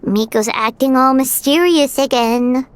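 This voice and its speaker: A woman's voice: high-pitched, unhurried, clean tone, dry recording. A woman voicing a small, curious, eager childlike fairy companion in a video game, often speaking of herself in the third person. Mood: puzzled, concerned. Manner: refers to self in third person. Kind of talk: animated video game voice acting.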